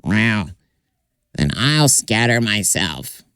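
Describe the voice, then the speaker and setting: A man's voice: fairly low pitch, very animated, slow, pushed and bright, clean tone, no room sound, studio quality, voice pushed up, full character voice. A man voicing a talking pile of manure in a folk tale, chanting matter-of-factly.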